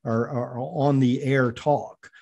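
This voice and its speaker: A man hosts a talk podcast at a middle pitch. He asks loose, meandering questions packed with "uh"s and repeated words, circling before he gets to the point. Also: fairly even intonation, slow pace, darkish tone, muffled recording. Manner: rambling, filler-heavy questions with many restarts. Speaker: podcast host. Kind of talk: casual interview hosting.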